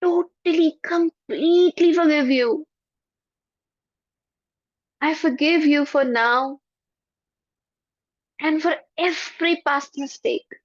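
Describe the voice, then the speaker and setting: A woman leading a guided forgiveness meditation in a soft, prayerful voice with slow repetitions. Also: sits high, no room sound. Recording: dull lo-fi, dry.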